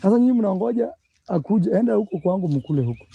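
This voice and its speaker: A man's voice: high voice, lively intonation, fast talker, vocal fry, highs cut off, background noise. A man in a casual recorded conversation, asking questions.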